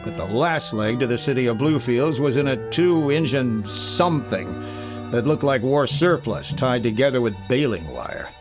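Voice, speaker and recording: An actor playing a wry, breezy freelance insurance investigator, narrating in clipped hardboiled 1950s radio style. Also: male voice, mid-range voice, lightly acted.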